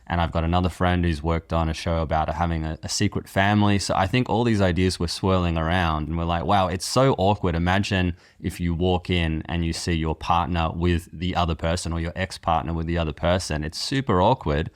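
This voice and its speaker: A man's voice: deep voice, steady tone, fairly quick, hardly any pauses, smooth. A man talking casually as a podcast guest and writer, informal and thinking aloud as he explains. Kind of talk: casual interview chat.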